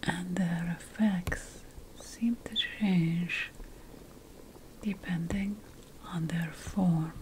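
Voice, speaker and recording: A woman playing an ancient witch priestess, a patient and lofty potion scholar, in a hushed, deliberate ASMR fantasy roleplay. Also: fairly low pitch, fairly even intonation, a little hiss or hum. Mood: hushed, instructive. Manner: hushed, deliberate, lingering over lists.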